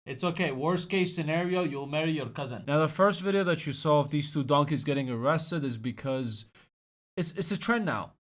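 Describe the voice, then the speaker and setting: A young man gives comedic commentary, mocking his subject with sudden loud outbursts. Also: on the high side, normal speed. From Iran. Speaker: commentary host.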